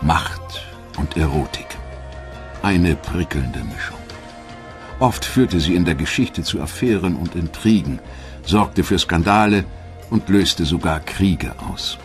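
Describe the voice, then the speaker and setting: A man reading a scripted audio documentary in a measured, dramatic storytelling manner, with a husky voice. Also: low voice, expressive. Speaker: documentary narrator. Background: background music.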